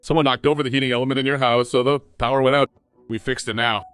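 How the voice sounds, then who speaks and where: A man voices an ordinary family man in a cartoon. The pitch is average and the recording is dry and close. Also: fairly even intonation, fast talker, fairly bright tone, smooth, no room sound, close to an everyday voice. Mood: plain. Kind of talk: cartoon story dialogue.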